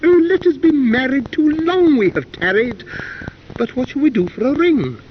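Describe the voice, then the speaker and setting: A sweetly besotted storybook creature played by a man croons its courtship in a lilting sing-song. The voice swoops up high and adoring, on a dull, faintly hissy cassette. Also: sing-song, moderate pace.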